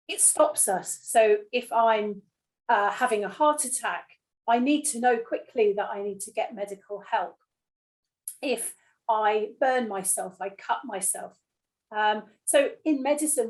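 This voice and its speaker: A middle-aged woman giving a plain, measured explanation to a lay audience as a health panellist. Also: a little high, a little mellow. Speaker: nurse and panellist explaining pain.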